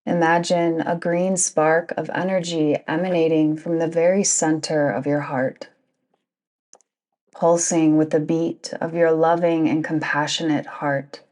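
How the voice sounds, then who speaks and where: A woman guiding a yoga nidra meditation in a soothing, deliberate manner, with long pauses between phrases. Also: sits low, little pitch movement, a little mellow. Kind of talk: guided meditation narration.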